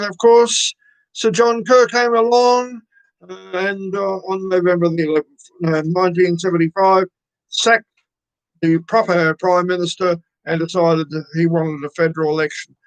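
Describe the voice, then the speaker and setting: A man's voice: high voice, fairly even intonation, a bit bright, dry recording, rough recording. An older Australian man presenting a radio show, chatting casually between songs with lots of ums and uhs. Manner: halting, many ums and uhs.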